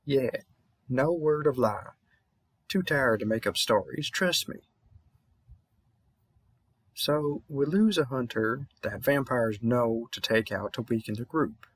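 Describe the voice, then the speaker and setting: A man's voice: medium pitch, takes it slowly, long pauses, breathy edge, no echo. A man playing a weary, kindly veteran vampire hunter in a roleplay audio drama, with a Southern US accent and a rambling, slightly mumbled, reassuring manner. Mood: somber, earnest.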